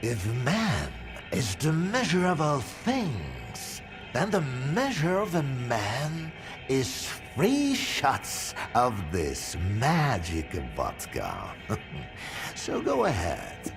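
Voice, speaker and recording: Boozy, sardonic scientist, wildly swooping intonation. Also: male voice, medium pitch, huge pitch swings, slow, creaky, music in the background, exaggerated voice. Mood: wry, expansive. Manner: rambling, sardonic, theatrical toasting. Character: boozy talkative male scientist, jaded and philosophizing over vodka. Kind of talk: video game cutscene acting.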